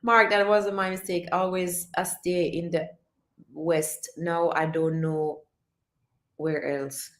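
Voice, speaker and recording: A woman chatting casually on a livestream, reading her chat and urging viewers to chase their dreams, animated and repeating herself often. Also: fairly low pitch, slow, echoey room. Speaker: vlogger reading live chat.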